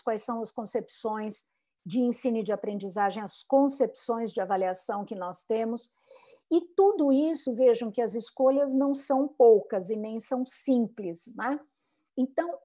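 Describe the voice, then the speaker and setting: Brief: woman educator lecturing, slightly high voice, mellow tone, thoughtful rhetorical questions. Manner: reflective, asks rhetorical questions; frequent "né?" tags and restarts. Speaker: bilingual education speaker. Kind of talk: online lecture talk.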